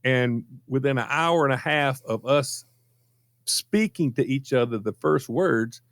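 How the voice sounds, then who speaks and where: A man's voice: mid-range voice, a little slow, no room sound. A middle-aged man chatting casually and telling personal stories in a folksy way, repeating himself for emphasis. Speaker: radio show regular telling personal stories. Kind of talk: casual chat, personal anecdote.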